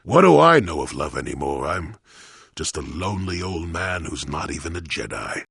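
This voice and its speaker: A man playing a crotchety, wry old hermit in a video game, with a gravelly, husky voice and a sardonic storyteller's manner. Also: extremely low pitch, very animated, moderate pace, a bit bright, dry recording, studio quality, full character voice. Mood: earnest, reflective. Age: older. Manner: cantankerous, sardonic, rambling storyteller. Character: crotchety old male hermit, former Jedi, wry and weary. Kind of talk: video game character acting.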